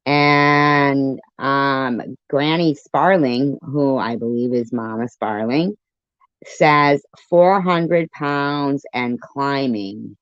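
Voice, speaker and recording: Slow-talking woman, low-fidelity stream. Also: no echo. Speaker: live stream host and commentator. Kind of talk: casual reaction commentary to live chat. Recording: dull lo-fi live stream audio.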